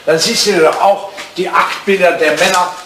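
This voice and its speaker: An ageing aristocrat, with a high, bright voice and a digressive, hesitant way of talking. He is fussy and vain in old radio-comedy style, recorded in a roomy space with light noise. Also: fairly even intonation, normal speed. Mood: wistful, boastful. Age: older. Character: fussy, ageing English lord, wry and vain. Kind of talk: retro radio comedy-drama acting. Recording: roomy, noisy vintage radio play recording.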